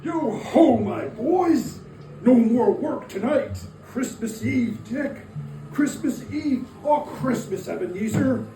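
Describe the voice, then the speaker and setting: Man acting a hearty old gentleman, jovial calls, unhurried stretched delivery, stage reading, roomy distant noisy sound. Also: very high voice, lively intonation, full character voice. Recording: echoey room, distant mic, noisy.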